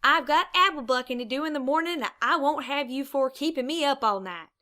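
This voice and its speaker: A stern farm pony big sister acted by a woman scolds. The voice is high, bright and bossy, like a storybook country girl. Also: animated, a little fast. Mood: irritated. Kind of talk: fan audiobook character acting.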